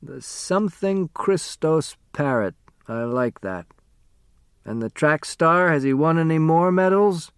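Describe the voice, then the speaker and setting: A man's voice: on the high side, lively intonation, measured pace, clean tone, no echo, studio quality, voice pushed up. A man voicing a frail, dying man in his eighties, weak-voiced, wistful and teasing, in an audiobook story. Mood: nostalgic, curious. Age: 80s. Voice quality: weak, faint.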